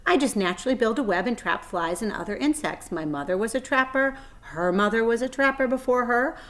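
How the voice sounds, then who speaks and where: A clever lady spider from a storybook, played by a woman reader, thin and pleasant in voice, raised in pitch. She speaks in a genteel, articulate way, kindly yet matter-of-fact. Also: animated, fairly quick, natural voice.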